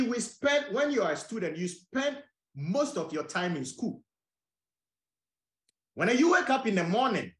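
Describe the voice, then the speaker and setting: A man from Ghana talks on a podcast in a high, animated voice that swings widely in pitch. He argues insistently and doubles back on phrases for emphasis. The room around him rings with echo. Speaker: guest, farmer. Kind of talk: conversational podcast interview.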